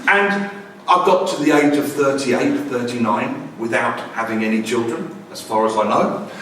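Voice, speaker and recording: A man's voice: medium pitch, moderate pace, fairly bright tone, slight background noise. A man in his forties telling a wry, self-deprecating personal story about family life as a language teacher. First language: English. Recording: very echoey room, distant mic.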